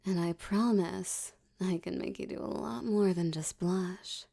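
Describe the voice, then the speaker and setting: A woman playing a seductive, menacing mafia boss in an ASMR roleplay, teasing and often slipping into a breathy whisper. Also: on the low side, mellow. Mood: flirtatious, teasing. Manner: teasing, slow, velvety, threatening. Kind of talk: ASMR roleplay audio drama.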